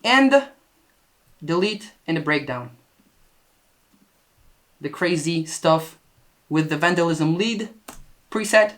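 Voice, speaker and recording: A man's voice: a little high, animated, takes it slowly, pauses often, a bit bright. A man who produces music, talking through how a track was put together. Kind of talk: production walkthrough.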